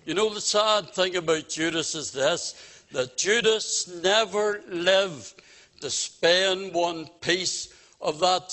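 A man preaching an evangelistic gospel sermon, solemn and exhorting, with deliberate phrasing and direct appeals to his listeners. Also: sits high, bright, forceful tone. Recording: long reverb of a meeting hall.

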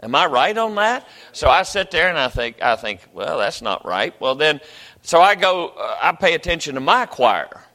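An older pastor speaks from the pulpit in a bright, forceful voice. His talk is folksy and rambling, full of false starts, and it rings through a large church hall with a long echo. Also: fairly high pitch, very animated, moderate pace. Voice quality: folksy, rambling with many restarts. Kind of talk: sermon. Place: church sanctuary.

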